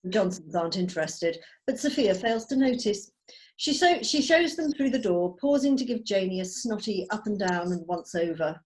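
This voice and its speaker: A woman's voice: medium pitch, animated, moderate pace, darkish tone, low-quality recording. A woman narrating the stage directions of a script in a table read over a video call.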